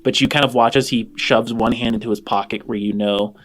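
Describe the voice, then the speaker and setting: A man narrating a tabletop game as game master, improvising in measured phrases with hesitant pauses. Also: medium pitch, fairly even intonation.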